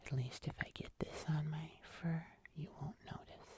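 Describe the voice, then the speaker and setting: A woman voicing a gentle, nervous fuzzy monster in a breathy, hesitant ASMR whisper. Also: low voice, fairly even intonation. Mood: careful, mildly nervous. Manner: slow, soft, hesitant, with small pauses. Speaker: ASMR host.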